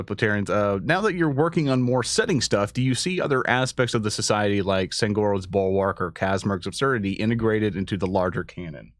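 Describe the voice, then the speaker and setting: A man's voice: medium pitch, a bit bright, no echo, studio quality. A man hosting a casual livestream interview, reading out audience questions in an informal, joking way and sometimes losing his thread.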